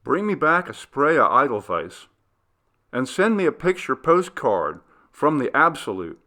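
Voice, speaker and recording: A man's voice: mid-range voice, sing-song, measured pace, pushed and bright, pitched above the performer's own voice. A man voicing a hearty, wry male friend in a storybook reading, jovial and emphatic as he teases his companion. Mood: hearty farewell. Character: hearty, teasing male friend seeing someone off.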